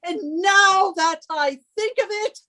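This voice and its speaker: Shrill, bossy wife. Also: female voice, sharp tone, heavily acted. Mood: scolding. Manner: nagging, scolding, emphatic, drawn-out delivery. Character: bossy henpecking British wife, shrill and imperious. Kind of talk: theatrical radio suspense acting.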